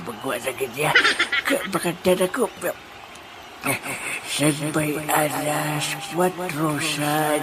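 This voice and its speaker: A man playing a fussy, rambling old grandpa who enters a singing contest, chuckling and grumbling as he goes. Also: sits high. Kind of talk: amateur audio drama. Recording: loud background noise.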